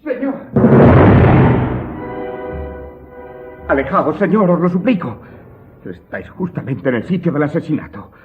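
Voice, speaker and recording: A man's voice: fairly high pitch, big pitch swings, brisk. An actor playing a frightened, evasive steward in an audio drama, stammering and pleading with his master. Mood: terrified. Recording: muffled, band-limited audio with faint music.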